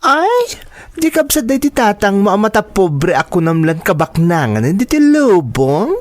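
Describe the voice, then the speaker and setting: A woman with an Ilocano accent playing a breezy, chummy young student friend in a radio drama, expressive and drawing out her words. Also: mid-range voice, unhurried, no room sound. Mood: teasing, curious.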